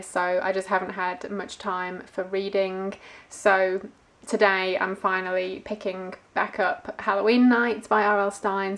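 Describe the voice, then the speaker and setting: A woman talking casually and conversationally as a book vlogger, in an easygoing, informal way. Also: mid-range voice. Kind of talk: casual chatty vlog about books.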